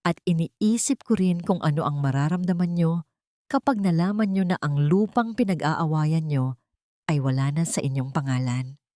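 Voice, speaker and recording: Woman acting a coldly vengeful wronged wife, low-voiced. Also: normal speed, dark tone, dry recording, clean studio sound, lightly acted. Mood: menacing, pointed. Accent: Filipino.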